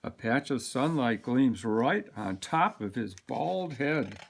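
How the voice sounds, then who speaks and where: A man reading a children's picture book aloud with expressive, dramatic emphasis. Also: medium pitch, slow, gravelly. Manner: animated, dramatic delivery with sound-word emphasis. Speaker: storybook reader.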